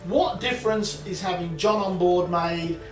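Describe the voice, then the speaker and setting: A man hosting a conversational interview, summing up points and sometimes starting sentences haltingly. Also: high-pitched. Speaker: interview host. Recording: roomy, distant mic, faint music behind.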